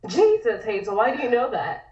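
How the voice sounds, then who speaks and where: A woman playing a nervous, apologetic, easily startled young woman in a script table read, speaking haltingly. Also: fairly high pitch, very animated, a little mellow. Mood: alarmed. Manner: hesitant, halting. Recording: muffled, echoey, distant microphone.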